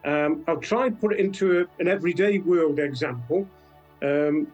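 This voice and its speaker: A man's voice: on the high side, normal speed, darkish tone, lo-fi sound, soft background music. An older man with a British accent giving thoughtful, hesitant explanations as an interview guest. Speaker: interview guest, science fiction author.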